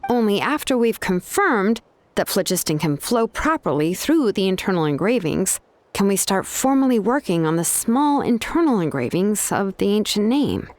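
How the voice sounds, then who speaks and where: A woman's voice: medium pitch, big pitch swings, moderate pace, a little mellow, natural voice. A woman playing a laid-back but exacting young craftswoman in a video game, explaining things in a casual, matter-of-fact way.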